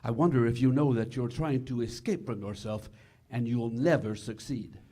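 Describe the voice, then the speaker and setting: A man portrays an earnest, high-minded cause leader in an old-time radio play. His tone is soft-edged and mellow. He speaks formally, with measured sincerity. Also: lightly acted. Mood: grave, knowing. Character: earnest, noble male resistance leader in love. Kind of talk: 1940s radio theater acting.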